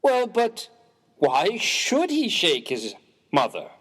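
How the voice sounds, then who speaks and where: A man's voice: high-pitched, huge pitch swings, slow pace, fairly bright tone, breathy edge, heavily acted. A man playing a prim, earnest, mild-mannered clergyman in a dramatised story reading, speaking in a formal, precise, wordy way. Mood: puzzled, proper.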